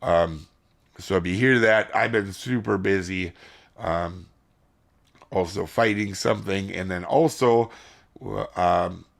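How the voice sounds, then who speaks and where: Gravelly-voiced man losing his voice. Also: low voice, takes it slowly. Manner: rambling, addresses viewers as guys. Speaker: gaming channel host. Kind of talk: casual video commentary.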